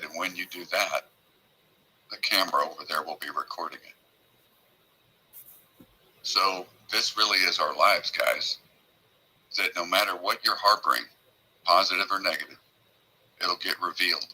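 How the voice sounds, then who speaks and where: A man's voice: low voice, sharp tone, breathy edge, low-quality recording. A man joining a livestream as a guest, giving earnest motivational commentary and telling stories with many ums and uhs. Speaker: guest participant.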